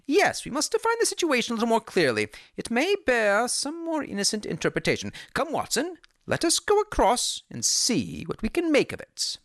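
A reader voicing a brilliant, excitable male detective in a Victorian audiobook, in a raised, quick, exclaiming voice. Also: mid-range voice, dry recording, clean studio sound, voice pushed up. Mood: urgent. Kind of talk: audiobook character reading.

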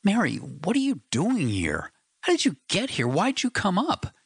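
A man's voice: medium pitch, big pitch swings, normal speed, gravelly, fairly bright tone, breathy edge, no room sound, clean studio sound, voice pushed up, heavily acted. A man reading the part of a big, determined man, with an urgent, eager delivery. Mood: stunned. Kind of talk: audiobook character reading.

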